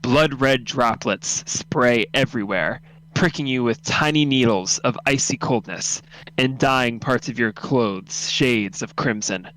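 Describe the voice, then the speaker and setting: Smooth-voiced young man, mid pitch. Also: slow pace, fairly bright tone, poor audio. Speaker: narrator and writer of the story. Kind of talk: casual stream chat and scripted story narration.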